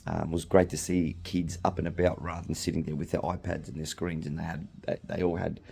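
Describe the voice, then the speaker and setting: A middle-aged Australian man hosting a podcast alone, slightly hoarse from losing his voice, rambling casually with frequent ums and restarts. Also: low voice, flat delivery, brisk, mellow. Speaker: podcast host. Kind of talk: casual solo chat.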